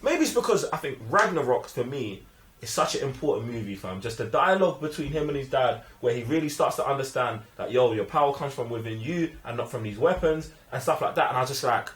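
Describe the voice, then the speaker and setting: A man's voice: medium pitch, animated, fast talker, a bit bright. A young man with a London accent chatting casually and emphatically about films, full of slang. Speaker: film review podcast host. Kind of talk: casual opinionated film chat. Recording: echoey room.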